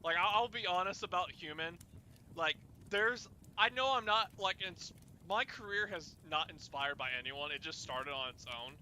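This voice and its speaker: A man hosting an informal chat, telling rambling stories in a halting, animated way and swearing at times. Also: high voice, a little hiss or hum. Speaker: host of an informal fireside chat. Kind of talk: casual chat, rambling storytelling.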